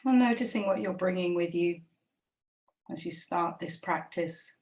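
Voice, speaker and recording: A woman guiding a body scan meditation, speaking slowly and gently with long, unhurried pauses. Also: on the low side, recorded from a distance. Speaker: meditation guide.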